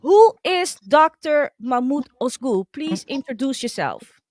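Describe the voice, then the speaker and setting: A woman interviewing a guest for a podcast, asking questions in English in a friendly, quick way. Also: sits high, very animated, darkish tone, no room sound.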